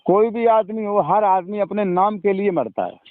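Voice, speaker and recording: A man's voice: sits high, moderate pace, dry recording. A man in an informal phone conversation, explaining didactically and repeatedly asking the listener to agree. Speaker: religious storyteller. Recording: narrow-band phone call recording.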